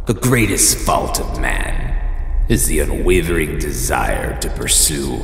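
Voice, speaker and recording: A man narrating a horror-anthology introduction in a slow, grave, portentous way. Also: a bass voice, vocal fry, a bit bright, a little hiss or hum. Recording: cavernous hall reverb.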